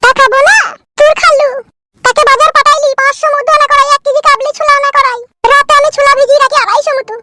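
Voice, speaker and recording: A man voicing a bossy, nosy older village aunt in a comic cartoon, with a rural West Bengal accent, a pitched-up voice and a preachy, earthy manner. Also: fairly even intonation, fast talker, background noise. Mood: chatty, confiding. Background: music.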